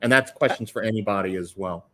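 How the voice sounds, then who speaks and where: A man's voice: fairly low pitch, fast, bright, forceful tone. A man moderating a panel in a casual, affirming way, reading out audience questions. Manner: casual, affirming, repeats "for sure".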